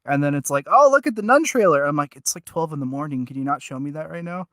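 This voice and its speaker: Bright, higher-pitched man chatting casually. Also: lively intonation, dry recording, studio quality. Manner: joking, halting, self-interrupting. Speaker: podcast co-host reviewing audios. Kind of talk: casual review chat.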